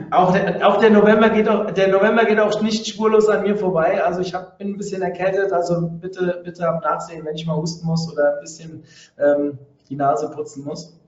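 A man hosting a live webinar who has a cold and coughs now and then, talking chatty and halting with many fillers. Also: high voice, very echoey, recorded from a distance, highs cut off. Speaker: podcast and webinar host.

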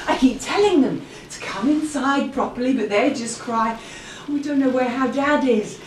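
A woman's voice: high-pitched, normal speed, lightly acted. A woman acting an anxious, plaintive seventy-year-old Londoner in an audio play. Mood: concerned. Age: 70s. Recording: roomy, distant, faint background noise.

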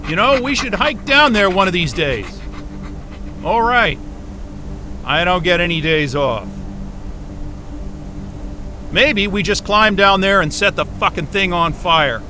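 An actor playing a weary, disgruntled scientist who mutters sardonic, profane asides in a naturalistic audio drama, with noise around him. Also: male voice, high voice, expressive, slow, pauses often. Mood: dry, weary. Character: weary, disgruntled male astrophysicist talking to his dog.

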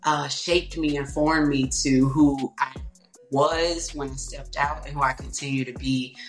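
A woman with a low alto voice, telling stories in a reflective way and peppering her talk with "you know". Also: steady tone, measured pace, echoey room, faint music behind. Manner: warm, reflective storytelling with many "you know"s. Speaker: guest singer. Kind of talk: casual interview talk.

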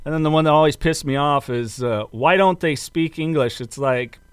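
A man's voice: on the high side, normal speed, smooth, no room sound. An American man hosting a casual interview, talking in a rambling, roundabout way. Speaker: podcast host.

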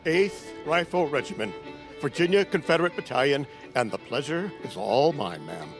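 An actor playing a courtly, proud old Confederate general, folksy, in audio fiction. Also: male voice, fairly high pitch, big pitch swings, moderate pace, music in the background. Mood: proud, flattering. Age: older.

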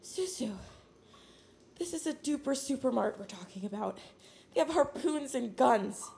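A creaky, high-voiced blunt teen girl. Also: expressive, moderate pace, darkish tone, slight background noise. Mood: amazed, blunt. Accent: American. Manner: earnest, blunt, slangy. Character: guarded, blunt, bold teenage girl, secretly vulnerable. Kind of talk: naturalistic audio drama acting.

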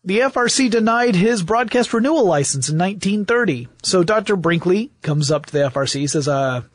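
A man's voice: sits high, expressive, brisk. A man co-hosting a podcast, telling history in a casual, wry, conversational way. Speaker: podcast co-host.

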